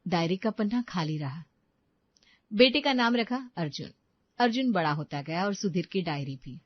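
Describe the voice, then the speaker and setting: A woman's voice: on the low side, lively intonation, moderate pace, no room sound, dull audio. Woman storyteller, very dry recording. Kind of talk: scripted dramatic narration of a moral story.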